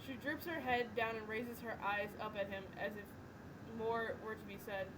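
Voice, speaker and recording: A woman's voice: on the high side, fairly even intonation, normal speed. A woman reading a story aloud casually, voicing the dialogue as she goes. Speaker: reader in a group fanfiction reading. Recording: noisy home recording.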